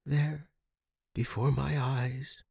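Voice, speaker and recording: A man's voice: mid-range voice, little pitch movement, very slow, gravelly, mellow, husky, dry recording, studio quality. A man hosting a fiction podcast and narrating scripted horror in a dramatic style.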